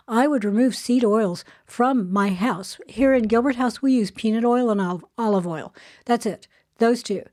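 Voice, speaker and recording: An older woman with a soft voice hosting a casual spoken segment, chatting with frequent fillers and restarts. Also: medium pitch. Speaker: show host. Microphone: earbuds headset.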